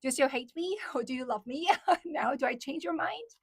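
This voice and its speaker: A young woman from China speaks in a somewhat high voice. She chats casually with her livestream viewers, repeating their questions out loud and moving between English and Mandarin. Her voice sounds dry and close, with almost no room sound. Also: expressive, a little fast, creaky, dry recording. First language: Chinese. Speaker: livestream host. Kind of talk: casual livestream chat reading viewer comments.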